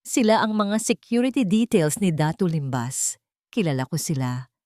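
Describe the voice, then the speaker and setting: Low-pitched woman narrating a dramatic story. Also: sing-song, normal speed, a little mellow, no room sound, studio quality. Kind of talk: dramatic scripted first-person audio story narration.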